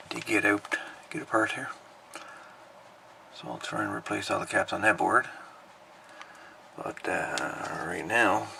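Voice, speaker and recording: A man giving a casual, unhurried, folksy hands-on repair narration, thinking aloud as he goes. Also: on the low side, vocal fry, background noise. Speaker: hobbyist radio repairer presenting a video.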